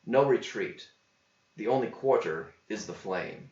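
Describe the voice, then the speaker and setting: Guy reading a poem story slowly, echoey room, bit dull sounding. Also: lowish voice, expressive, darkish tone. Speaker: author reading own verse epic. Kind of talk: scripted verse narration. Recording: roomy, slightly dull home recording.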